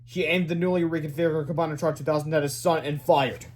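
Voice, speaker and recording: A man reading a children's book aloud as an expressive storyteller. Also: on the high side, fast. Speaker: read-aloud reader.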